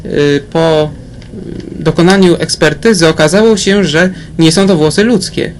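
A man playing a polite forensic doctor who talks carefully and haltingly in a crime radio play. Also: fairly high pitch, noisy background, natural voice. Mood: hesitant, grave.

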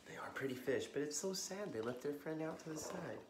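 An American man in his thirties hosting a children's story time, reading aloud expressively with gentle asides to young listeners. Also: fairly high pitch, lively intonation, a little fast, background noise. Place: living room, on a couch indoors. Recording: roomy, echoey home recording.

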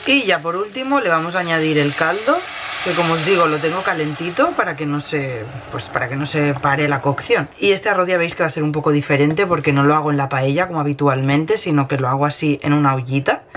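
A woman in her thirties with a Spain Spanish accent, slightly hoarse from a cold, casually narrating a recipe as she cooks in her home kitchen. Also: low voice, fairly quick. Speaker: home cooking vlogger. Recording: noisy kitchen with sizzling pan. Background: frying and stirring sounds.

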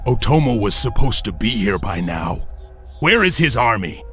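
An actor playing a wary, honorable samurai in a fantasy game, serious and grim in manner. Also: male voice, lowish voice, sing-song, moderate pace, a bit bright. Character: wary, honorable male samurai warrior of Serpent clan. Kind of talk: video game cutscene acting. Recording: music behind the voice.

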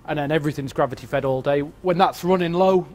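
A man showing a visitor around a factory, explaining the process in a matter-of-fact way with some halting restarts. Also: a little high, a little mellow. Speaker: factory guide. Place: large factory floor. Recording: noisy factory floor.

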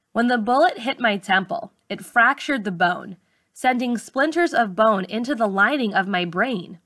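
A woman reading a memoir aloud like an audiobook narrator, with measured, clearly phrased delivery. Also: on the high side, pushed and bright, no room sound, studio quality. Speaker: teacher reading a book aloud.

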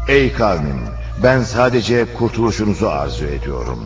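A man's voice: fairly low pitch, big pitch swings, moderate pace. A man narrating a religious cartoon in a solemn, declamatory way, reciting quoted speeches. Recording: muffled audio under prominent music.

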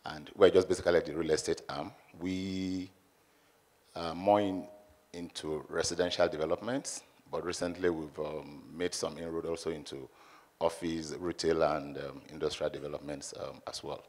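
A man introducing himself as a panelist in a measured, hesitant way, speaking into a handheld mic. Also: rumbling low, little pitch movement, a little mellow. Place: conference hall audience.

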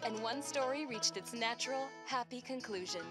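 A woman's voice: on the high side, moderate pace, lightly acted. A woman reading a scripted storybook prologue as narrator of a fairy-tale video game. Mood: gentle storytelling. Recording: plucked-string music loudly behind narration.

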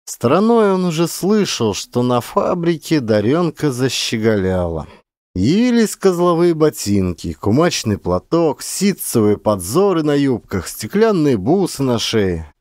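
Expressive male storyteller with lively intonation. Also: fairly high pitch, no echo, studio quality. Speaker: actor reading an audiobook. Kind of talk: expressive literary audiobook narration.

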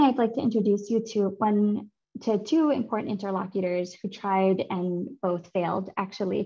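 A woman in her thirties presents her research in a clear, measured academic manner. Her voice is mellow and mid-pitched. The video call audio sounds slightly muffled. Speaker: seminar speaker, author presenting research. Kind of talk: academic talk over slides. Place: home room.

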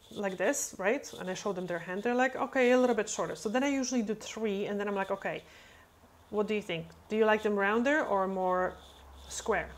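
A woman in her thirties, a nail technician whose first language is not English, talking casually into a webcam and acting out chats with clients, with many fillers. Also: mid-range voice. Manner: chatty, acts out client dialogue, many fillers. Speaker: nail technician answering questions. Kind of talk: casual explanatory talk. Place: indoor room, webcam over screen.

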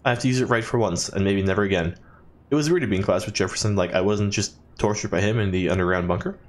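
Expressive man reading game text aloud. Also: lowish voice, fast. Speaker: let's player.

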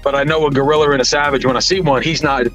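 A man's voice: medium pitch, steady tone, very fast, a bit bright, muffled recording, faint music behind. A man in his forties on a video call podcast, arguing bluntly and provocatively, with plenty of swearing. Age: 40s. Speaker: podcast panelist. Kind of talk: heated debate on a video call. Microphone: studio mic on a video call.

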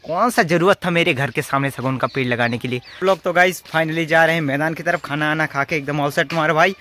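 A man's voice: on the high side, fairly quick, bright, forceful tone. A young man chatting casually to viewers in a vlog, addressing them informally as brothers. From rural India. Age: young adult. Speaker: vlogger. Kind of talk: casual vlog chat to camera. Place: outdoors in village fields and tented cricket ground. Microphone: phone at arm's length. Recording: noisy outdoor phone recording.

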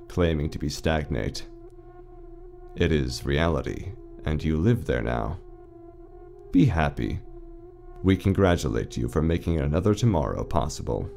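A man playing an eerily calm, reassuring announcer in a surreal audio drama, measured, soothing and deadpan. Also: rumbling low, gravelly, full character voice.

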